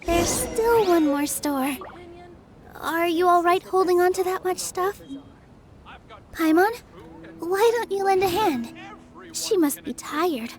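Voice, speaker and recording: A woman playing a sweet, gentle young theater performer in a video game, polite and soft-hearted. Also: extremely high pitch, lively intonation, slow, long pauses, full character voice. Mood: kind. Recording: music behind the voice.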